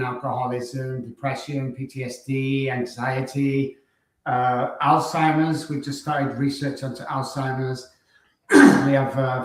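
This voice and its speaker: A middle-aged man with a Manchester accent, a guest on a live online talk show, speaking briskly in lists with frequent fillers. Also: medium pitch. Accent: Manchester English. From Manchester, England. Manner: brisk, listing, frequent uh fillers and throat clearing. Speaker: guest, addiction doctor. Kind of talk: casual live-stream interview. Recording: very echoey room.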